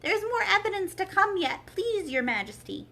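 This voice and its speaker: A woman voicing a nervous, deferential male rabbit from a storybook, fussy and hurried in manner. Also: very high-pitched, very animated, vocal fry, bright, forceful tone, voice pushed up, full character voice. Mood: eager, flustered. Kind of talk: storybook character read-aloud.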